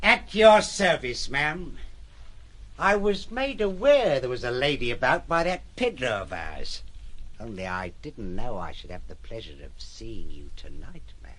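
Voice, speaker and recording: An actor playing a wily, servile henchman with rough colloquial speech in a radio drama. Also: medium pitch, big pitch swings, a little slow, fairly bright tone. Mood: ingratiating, sly. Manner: ingratiating, colloquial, muttering asides.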